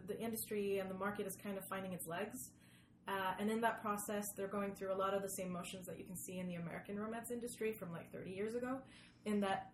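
A woman from Sweden, chatting casually as an interview guest, searching for words and repeating for emphasis. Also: mid-range voice. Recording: echoey room with background noise.

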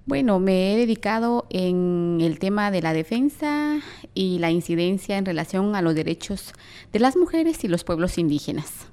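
Young Guatemalan woman, mid-pitched, earnest. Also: expressive, moderate pace, warm tone, clean tone. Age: young adult. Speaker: activist, interview guest. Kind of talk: earnest interview answers.

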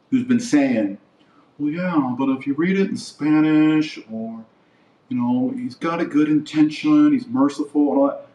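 A man's voice: a little high, darkish tone. A man hosting a podcast as a religious commentator, delivering an impassioned, indignant opinion monologue with emphatic repetition and rhetorical questions. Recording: echoey room, slightly distant mic.

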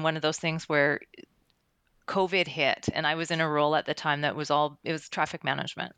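A woman's voice: low-pitched, pushed and bright, clear, resonant tone, no echo. A middle-aged woman giving candid, explanatory answers in a conversational interview. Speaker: interview guest, air traffic controller and career coach.

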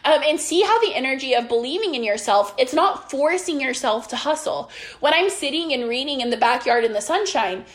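A woman's voice: sits high, animated, fairly quick. A young woman coaching on business and belief in a casual, earnest monologue, rambling with restarts, her voice worn after being sick.

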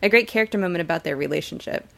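A woman's voice: fairly low pitch, rapid-fire, creaky, fairly bright tone. A woman co-hosting a podcast, talking casually about a television show. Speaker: podcast co-host. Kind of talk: casual TV episode discussion.

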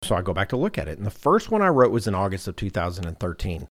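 A man's voice: low-pitched, sing-song, fast. A man hosting a podcast in casual conversation, telling anecdotes in a self-deprecating way. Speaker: podcast host.

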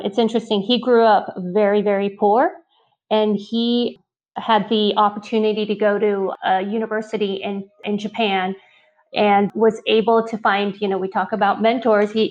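A woman's voice: medium pitch, a little mellow, dull audio. A middle-aged woman with an American accent, recounting personal memories conversationally as an interview guest. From born in Taiwan, grew up in Northern California. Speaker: interview guest, restaurant founder.

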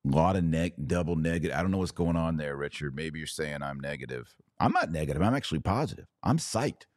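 Deep-voiced American man, emphatic religious commentator. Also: expressive, quick pace, no echo, studio quality. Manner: emphatic, preachy, punchy short phrases. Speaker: podcast host taking viewer questions. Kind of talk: impassioned religious commentary, off the cuff.

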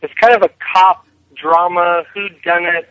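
A man's voice: sits high, level tone, measured pace, no room sound. A man being interviewed casually over a phone line as a film director. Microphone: telephone or internet call. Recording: thin phone call, faint music behind.

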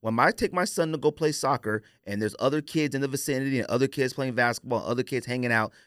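A man's voice: mid-range voice, quick pace, fairly bright tone, clear, resonant tone, no echo, studio quality. An American man in his forties hosting a podcast, debating sneakers casually and emphatically, repeating phrases for stress. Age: 40s. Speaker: podcast host.

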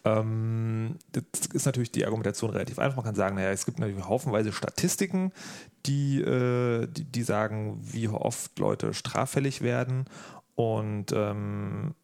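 A man's voice: lowish voice, normal speed, gravelly, darkish tone. A man hosting a casual discussion, speaking colloquially with a wry touch and posing questions. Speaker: podcast host.

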